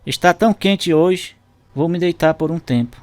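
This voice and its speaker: An actor playing a loving, earnest husband in a radio drama. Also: male voice, a little high, normal speed, a little mellow, dry recording, lightly acted. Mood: weary. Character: Eduardo, loving, worried husband.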